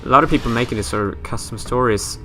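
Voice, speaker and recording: A man's voice: mid-range voice, fast talker, sharp tone, soft background music. A man from Sweden with a Swedish accent, rambling and joking his way through a horror game let's play. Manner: rambling, self-mocking, swears casually. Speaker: gaming YouTuber.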